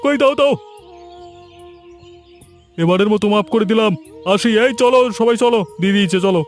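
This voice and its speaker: A man's voice: high voice, normal speed, soft background music, lightly acted. A man voicing a demanding, heartless village tutor in a cartoon, scolding and sneering impatiently. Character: demanding, heartless male village tutor chasing his fees. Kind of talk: cartoon story voice acting.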